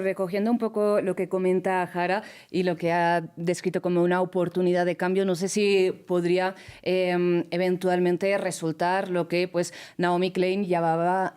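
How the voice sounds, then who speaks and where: A woman hosting a television news debate, guiding the panel with measured questions and frequent hesitations. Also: fairly low pitch, little pitch movement, a little mellow, smooth. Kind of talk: moderating a panel discussion.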